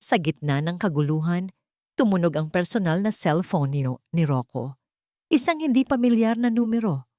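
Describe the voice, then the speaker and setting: A woman's voice: fairly low pitch, expressive, normal speed, mellow, no echo, studio quality. A woman with a Filipino accent, narrating a dramatic scripted audiobook story.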